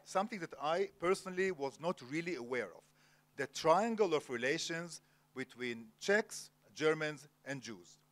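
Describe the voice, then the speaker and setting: A man's voice: fairly high pitch, a bit bright. A man moderating an onstage literary interview, speaking formally with many hesitations. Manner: formal, many filler pauses. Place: cultural center event hall, Jerusalem.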